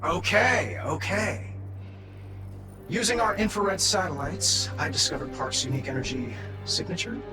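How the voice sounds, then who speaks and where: An actor playing a cocky, ruthless artificial intelligence assistant in a video game, brash, showy and sarcastic. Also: male voice, high-pitched, moderate pace, music in the background, natural voice. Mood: eager, scheming. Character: cocky, ruthless, wisecracking AI assistant of a weapons maker.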